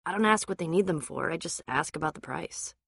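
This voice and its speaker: A woman voicing a tough, sarcastic, self-assured young adventurer and trader in a video game, with an American accent and a wry, brisk delivery. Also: fairly low pitch, animated, gravelly, fairly bright tone, breathy edge, dry recording, studio quality, heavily acted. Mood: confident, wry. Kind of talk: animated adventure game dialogue.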